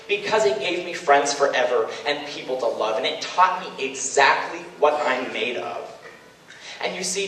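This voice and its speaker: A young man with a high voice recites slam poetry in a rhythmic, emphatic way. He sounds like a student poet on stage, heard from a distance in a very echoey hall. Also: a little hiss or hum. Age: young adult. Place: large indoor ceremony hall.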